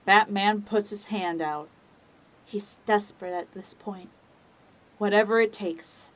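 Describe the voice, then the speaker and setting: A woman giving dramatic scripted narration for an audio drama, in a measured and solemn manner. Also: mid-range voice, fairly even intonation, poor audio.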